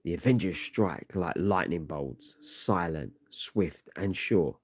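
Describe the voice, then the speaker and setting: A man with a low voice narrates a superhero comic in dramatic storytelling style. The sound is dry and close, with little room in it. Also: animated, takes it slowly, husky, dry recording. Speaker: comic read-along narrator.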